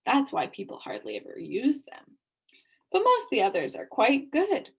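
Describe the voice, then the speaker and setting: A woman's voice: high voice, wildly swooping pitch, moderate pace, creaky, slightly rough, heavily acted. A woman reading a storybook aloud and voicing a friendly male letter seller who chats and confides with customers. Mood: confiding. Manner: confiding, chatty salesman.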